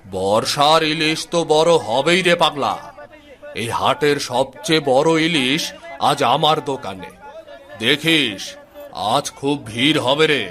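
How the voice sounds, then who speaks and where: A man's voice: fairly high pitch, animated, unhurried, pushed and bright. A man voicing a bossy, grumbling village fishmonger in a cartoon, chiding and instructing his helper. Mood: proud, bossy. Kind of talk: cartoon voice acting. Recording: busy outdoor crowd sounds behind.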